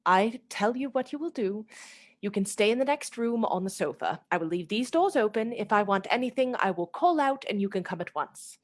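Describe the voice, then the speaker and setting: A woman acting in a radio play as a fragile, affectionate young gentlewoman, speaking gently and expressively. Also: mid-range voice, lively intonation, a little fast, close to an everyday voice. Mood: brighter, insistent.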